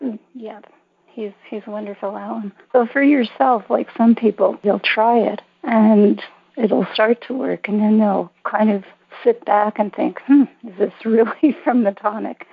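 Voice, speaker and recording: Creaky-voiced woman on a dull call. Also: mid-range voice, fairly even intonation, a little slow, no room sound. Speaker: co-host and order taker. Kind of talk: casual conversational call hosting. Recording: dull lo-fi conference call line.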